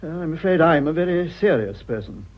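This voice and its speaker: A man's voice: on the high side, animated, fairly quick. An actor playing a stuffy, earnest, hesitant man in his thirties with an upper-class English accent in a radio play. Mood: prim. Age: 30s. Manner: prim, hesitant, solemn. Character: stuffy, earnest, fussy son of thirty-five. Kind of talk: stage comedy radio acting. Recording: dull, muffled old radio drama.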